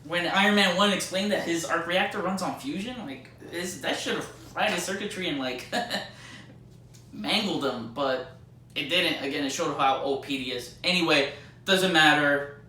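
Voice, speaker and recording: A man with a bright, forceful voice talks through a film in a loose, slangy way, leaning hard on his opinions. His pitch sits a little high, and he sounds set back from the mic in an echoey room. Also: moderate pace. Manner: rambling, slangy, mildly sweary fan talk. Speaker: movie reviewer. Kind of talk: casual spoken movie review with spoilers. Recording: roomy echo, distant mic, faint noise.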